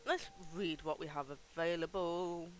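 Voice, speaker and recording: A man's voice: a little high, steady tone, a little slow, soft background music. A man hosting a livestream, giving casual, informal game commentary with some swearing. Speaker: livestream host.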